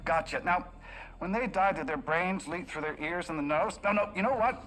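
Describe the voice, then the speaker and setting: A sneering Canadian businessman villain in a game cutscene. His voice is bright, forceful and a touch high, with a mock-friendly, sardonic edge and a Canadian accent. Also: moderate pace, slight background noise, poor audio. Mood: smug taunting. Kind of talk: video game cinematic acting.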